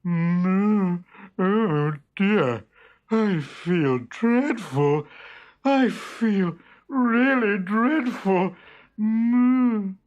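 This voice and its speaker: Slow, boastful, dim-witted bull. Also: male voice, sits high, expressive, darkish tone, highs cut off, voice pushed up, heavily acted. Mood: sorry, groaning. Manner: hesitant, blustering, drawn-out words with mooing hums. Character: boastful, dim-witted great bull, full of feeble excuses. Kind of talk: children's storybook character voice.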